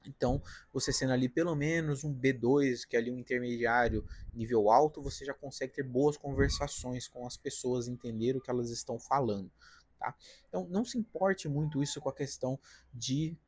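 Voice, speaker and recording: A man's voice: mid-range voice, a little fast, darkish tone, slightly rough, dry recording. A Brazilian man with a Paulista accent from the interior of São Paulo, giving casual, direct career advice in a vlog from his apartment balcony, often tagging his sentences with "né?" and "tá?". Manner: conversational, direct, frequent "né?" and "tá?" tags. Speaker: career vlogger, designer.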